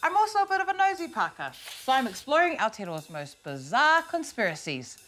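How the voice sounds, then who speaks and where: A young New Zealand woman hosts with a high, bouncy voice that rises and falls freely. Her delivery is cheeky and playful, full of innuendo. Music plays clearly underneath. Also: big pitch swings, brisk, a bit bright. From South Auckland, New Zealand. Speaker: host. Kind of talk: comic presenter narration.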